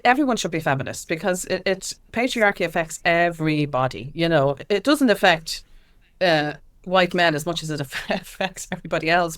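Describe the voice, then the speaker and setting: A woman with an Irish accent giving earnest, outspoken answers in a conversational interview. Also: on the low side. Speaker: interview guest, activist and music consultant.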